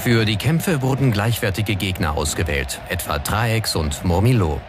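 A male narrator with a fairly low voice delivers scripted documentary narration in standard German. Clear background music plays under his reading. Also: expressive, normal speed.

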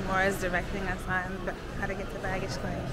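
A woman's voice: mid-range voice, monotone, fast, over a noisy crowd. A woman hosting a casual travel vlog, chatty and giggly as she talks to her viewers. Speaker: vlog host. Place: travel locations and hotel room. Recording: noisy handheld vlog audio, ambient travel noise.

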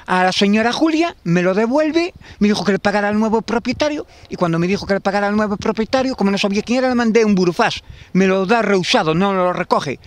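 Galician guy in his 50s, rambling with restarts, outdoors into a handheld mic, quick talker. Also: sits high, dry recording. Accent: Galician Spanish. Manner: rambling, repetitive, many restarts. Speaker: tenant facing eviction. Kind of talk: street interview. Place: outdoors in a garden. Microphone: handheld interviewer mic.

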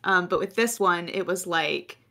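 An American woman in her twenties with a low voice, co-hosting a podcast in casual, rambling chat. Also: normal speed, sharp tone. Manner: chatty, laughing often, rambling. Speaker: podcast co-host.

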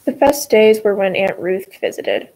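A woman's voice: mid-range voice, lively intonation, measured pace, darkish tone, rough recording. A teenage girl reading a picture book aloud over a video call.

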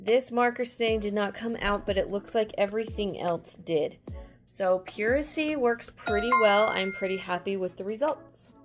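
Female home-cleaning vlogger, average pitch, informal chatty delivery, music clearly behind her. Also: moderate pace. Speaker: cleaning vlog host. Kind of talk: casual product-test voice-over.

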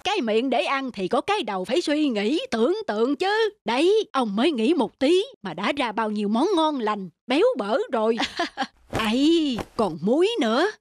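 A woman's voice: high-pitched, very animated, normal speed, no room sound. A woman voicing a stingy old male landowner in a folk-tale cartoon, lecturing and scolding, with music behind. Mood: preachy.

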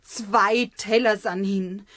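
A woman's voice: mid-range voice, fairly even intonation, takes it slowly, fairly bright tone, dry recording, studio quality. A woman playing a nagging, practical housewife with a Bavarian accent in a children's radio play. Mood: exasperated. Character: nagging, practical housewife bickering with her husband.

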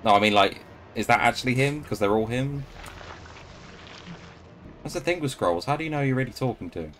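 A young man reacting to a TV episode on a headset mic in a small home room, excitable, swearing and calling out to the screen. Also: mid-range voice, brisk, a little hiss or hum. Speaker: reaction video host. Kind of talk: casual reaction commentary.

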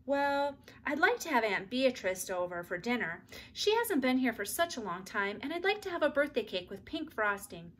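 Woman as an earnest young girl, high voice. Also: very animated, normal speed, few pauses, fairly bright tone, a little hiss or hum. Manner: earnest, plaintive. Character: earnest, responsible older sister, young girl.

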